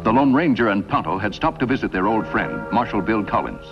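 An actor narrating an old western adventure in dramatic 1950s style. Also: male voice, on the low side, big pitch swings, brisk, vocal fry. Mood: grave, suspenseful. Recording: muffled old television soundtrack, music behind.

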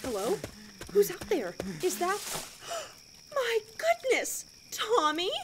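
A woman's voice: very high voice, huge pitch swings, takes it slowly, background noise, exaggerated voice. A woman playing a cheery, prim, darkly unfazed scout troop leader in an audio drama, with an encouraging, condescending manner. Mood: shocked.

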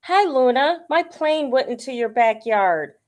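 A woman's voice: high voice, lively intonation, measured pace, a little mellow. A woman voicing a young boy llama in a children's storybook, eager and easily hurt, with a childlike, wondering manner.

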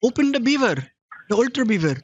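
A man's voice: extremely high pitch, lively intonation, quick pace, slightly rough, dry recording, muffled recording, full character voice. A man playing a confused victim on a prank call, speaking broken English in a put-on Indian accent and teasing the caller. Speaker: scam baiter posing as a victim.

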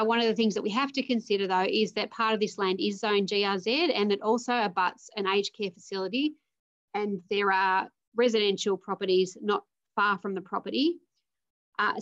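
Australian woman councillor on a video call. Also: medium pitch. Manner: measured, reads motion wording carefully. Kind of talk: formal council meeting speech. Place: home or office room on a video call. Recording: dull lo-fi video call audio.

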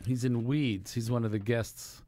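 A man's voice: mid-range voice, steady tone, unhurried, mellow. A man in his sixties from New York City, hosting a morning radio show from a small studio, rambling and joking between sponsor reads. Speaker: radio host. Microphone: studio mic with headphones.

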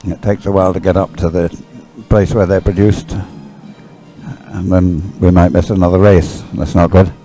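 Casting note: male live race commentator, low mellow voice, rambles and hesitates as he goes. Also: flat delivery, moderate pace, soft background music, rough recording. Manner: rambling, hesitant, thinking aloud. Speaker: sports commentator.

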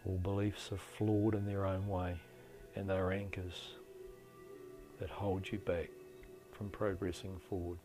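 A middle-aged man leading a guided meditation in a slow, deliberate, soothing delivery with long pauses. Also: sits low, flat delivery. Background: soft meditation music.

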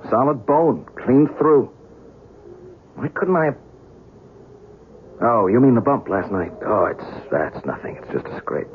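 An actor playing an agitated, skeptical young journalist in a 1970s radio drama, halting and exasperated. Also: male voice, medium pitch, lively intonation, close to an everyday voice. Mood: shaken, rueful. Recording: muffled, noisy vintage radio broadcast.

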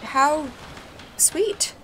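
A refined lady in a fantasy audio drama. She speaks with formal, genteel courtesy, and clear ship ambience sounds behind her. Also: high-pitched, very slow, heavy background noise. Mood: gracious. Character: gracious, refined noble lady, a bard.

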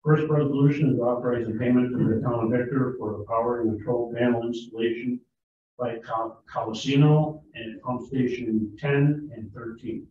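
A man's voice: mid-range voice, steady tone. Man reading bills in an echoey hall. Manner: brisk, matter-of-fact, rattles off figures. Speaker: town supervisor chairing a board meeting. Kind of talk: reading resolutions and bills at a meeting. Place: large town hall meeting room. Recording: distant echoey hall audio, thin phone-like sound, faint hiss.

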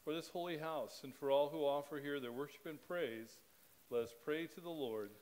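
A middle-aged man leads a spoken church liturgy from the pulpit. His reading is measured and steady, with an even cadence. He speaks into a pulpit microphone in a church sanctuary. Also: fairly high pitch, low-quality recording. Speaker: pastor.

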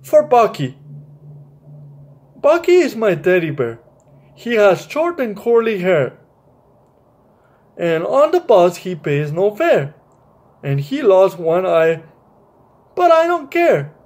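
Casting: man reads as earnest small boy from a storybook, childlike, high pitch, very lively intonation. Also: slow, pauses often, full character voice. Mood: fond. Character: earnest little boy who loves his teddy bear.

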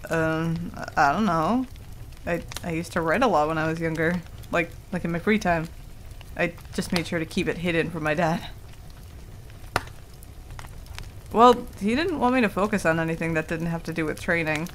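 A woman's voice: lowish voice, moderate pace, lightly acted. A woman playing a prickly, easily flustered tsundere werewolf in a casual ASMR roleplay, with a defensive, stammering manner. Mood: reluctant, vulnerable. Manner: casual, defensive, stammering when embarrassed, swears. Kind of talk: intimate ASMR roleplay acting. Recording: clearly audible background noise.

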